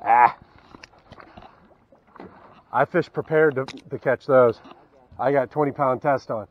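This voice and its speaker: A young Southern man talks to an action camera from a small boat on a wooded river, with relaxed, half-mumbled asides to himself and the fish. The camera audio is a little dull. Faint outdoor sound sits behind his voice. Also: medium pitch, slow, a little hiss or hum. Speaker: fishing channel host. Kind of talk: casual fishing vlog commentary.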